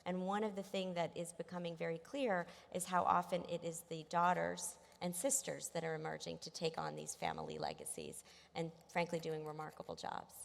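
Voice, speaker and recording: A woman's voice: fairly low pitch, a little fast, gravelly. A woman born in Egypt, speaking as a panelist with enthusiasm and frequent ums. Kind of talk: panel discussion. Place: large hotel conference hall. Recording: reverberant conference hall.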